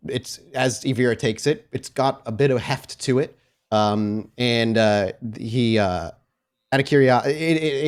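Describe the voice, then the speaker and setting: A man with a mid-range voice runs a tabletop roleplaying game. He narrates scenes as he invents them, feeling his way through the sentences in a halting, improvised manner. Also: medium pitch. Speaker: game master.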